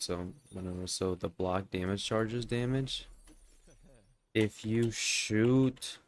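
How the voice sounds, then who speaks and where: A man commentating his own live game stream in a rambling, profane way, with a congested voice. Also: deep voice. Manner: profane, rambling, full of "like" and "you know". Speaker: livestreamer. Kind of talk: casual live gameplay commentary.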